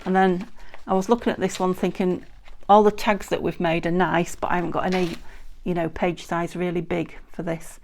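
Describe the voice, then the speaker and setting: Slightly croaky woman chatting through a craft. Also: lowish voice, flat delivery, moderate pace, hardly any pauses, darkish tone, a little hiss or hum. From United Kingdom. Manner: chatty, rambling, self-correcting with frequent ums. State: a bit croaky, losing her voice. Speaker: craft channel host. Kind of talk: casual crafting tutorial chat. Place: indoors at a craft table. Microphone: overhead camera, hands only on table.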